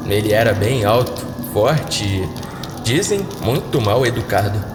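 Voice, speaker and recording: A man's voice: fairly low pitch, animated, unhurried, roomy sound, in a large hall, noisy background. A man voicing a wry, gossipy new homeowner in a horror story.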